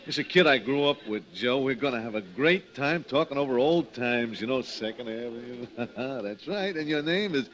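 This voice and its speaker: An actor playing a scheming crook who poses as an old pal in a radio drama, with a halting, repetitive, offhand delivery. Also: male voice, mid-range voice, expressive, a bit bright, dull audio, lightly acted. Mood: falsely chummy.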